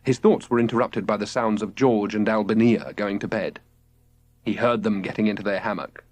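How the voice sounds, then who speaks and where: A man narrating a children's audiobook in an expressive, scripted storytelling style. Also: lowish voice, normal speed, no room sound.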